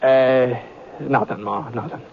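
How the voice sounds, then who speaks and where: A man's voice: mid-range voice, fairly even intonation, slow, gravelly. A man with an American accent playing a mild, hesitant, fair-minded cowboy hero in a folksy, stammering drawl, in Western radio drama style. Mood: uneasy. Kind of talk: 1950s Western radio drama acting and narration. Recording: dull vintage radio recording with music.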